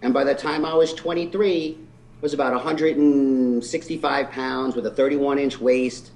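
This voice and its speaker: A man's voice: mid-range voice, fairly even intonation, normal speed, a little mellow, rough recording. A man in his forties telling the story of his life in an interview, earnest and candid with some self-deprecating humor. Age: 40s. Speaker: physique competitor guest.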